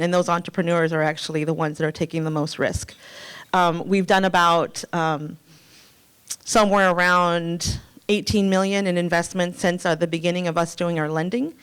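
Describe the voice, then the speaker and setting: Smooth low-voiced woman presenting on stage. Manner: filler-heavy, restarting often. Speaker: chief program officer of a nonprofit. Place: large hotel ballroom. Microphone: handheld microphone.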